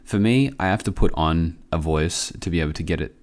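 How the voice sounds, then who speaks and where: A man with a cold presents a casual software tutorial in an offhand, halting, low-key way, speaking close to the microphone. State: sick with a cough.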